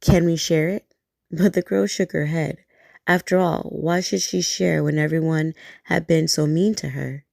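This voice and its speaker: A woman reading a bedtime story aloud in a soft, gentle, soothing way, close to the mic. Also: deep voice, steady tone, moderate pace, vocal fry, dry recording.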